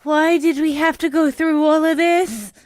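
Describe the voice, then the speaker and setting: A woman's voice: sits high, steady tone, measured pace, no echo. A woman playing a timid young woman on a first date in an amateur vintage radio play, sounding worried and breathless.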